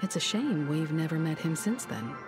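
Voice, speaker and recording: An actress playing a composed, analytical young woman in a space-fantasy game, speaking in a measured, matter-of-fact way. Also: deep voice, animated. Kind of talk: scripted game voice acting. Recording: game music behind the voice.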